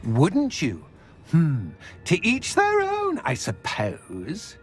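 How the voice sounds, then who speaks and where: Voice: medium pitch, wildly swooping pitch, extremely slow, faint music behind, exaggerated voice. An actor voicing a mischievous, theatrical poltergeist in a video game, with a pompous, drawling delivery. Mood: smug, playful. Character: mischievous, theatrical poltergeist fond of causing chaos. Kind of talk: video game character acting.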